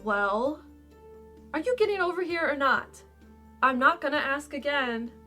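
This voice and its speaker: A woman's voice: high voice, expressive, a little slow, pushed and bright, faint music behind, exaggerated voice. A woman playing a blunt, sardonic young female dragon in a fan fiction audio drama, brusque and casual in manner. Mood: impatient, aggressive.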